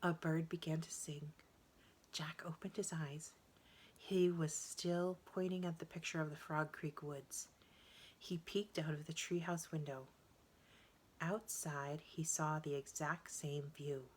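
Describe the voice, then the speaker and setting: A woman reading a children's story aloud in an expressive, deliberate way. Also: low voice, slight background noise. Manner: animated, deliberate, acts out dialogue. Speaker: storybook reader.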